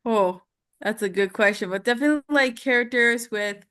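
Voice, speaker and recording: A woman's voice: on the high side, moderate pace, fairly bright tone, clear, resonant tone. A woman student chatting casually and hesitantly, thinking aloud as she goes. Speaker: voice acting student. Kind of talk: casual chat.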